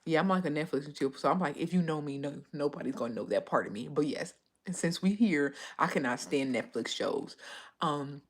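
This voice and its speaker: Chatty woman with a lively husky voice. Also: low voice, brisk. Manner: chatty, rambling, reads viewer comments aloud. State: a bit stuffy from a cold. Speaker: livestream host. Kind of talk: casual livestream chat.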